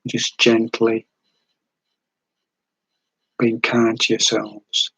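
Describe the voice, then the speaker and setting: Husky, gentle male meditation guide. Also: lowish voice, monotone, no echo, rough recording. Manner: unhurried, soothing, with long pauses between short phrases.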